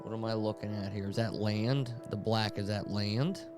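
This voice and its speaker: A man hosting a casual game playthrough, commenting informally and thinking out loud. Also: lowish voice, fairly even intonation, moderate pace. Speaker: gaming channel host. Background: game music.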